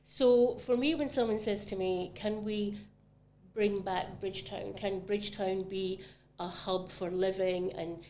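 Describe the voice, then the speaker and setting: A woman's voice: mid-range voice, steady tone, a little slow, darkish tone, a little hiss or hum. A woman from Scotland with a Scottish accent, talking about retail property in a casual podcast conversation, enthusiastic and full of ums. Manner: chatty, enthusiastic, many ums. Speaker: commercial property manager.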